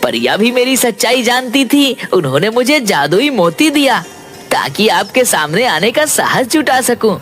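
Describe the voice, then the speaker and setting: A man voicing a humble, clever village woodcutter in an animated fairy tale, earnest and deferential in his speech. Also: very high-pitched, moderate pace, soft background music, exaggerated voice. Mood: earnest, flattering.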